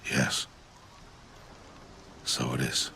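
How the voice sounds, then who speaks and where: A man acting a stern, patient foster father in a video game, with a gravelly voice and a solemn, measured, formal way of speaking. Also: bright, forceful tone, a little hiss or hum. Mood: resigned. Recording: rainy outdoor ambience behind.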